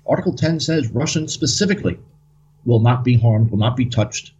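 A man's voice: mid-range voice, animated, moderate pace, a little mellow, highs cut off, a little hiss or hum. A man as a guest commentator, talking in a rambling, hesitant way with many fillers. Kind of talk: casual political discussion.

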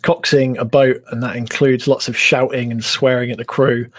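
A hoarse man, a talk show guest, speaking hesitantly and restarting phrases as he thinks. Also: mid-range voice, level tone, moderate pace, no room sound, studio quality. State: hoarse from shouting. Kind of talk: casual discussion.

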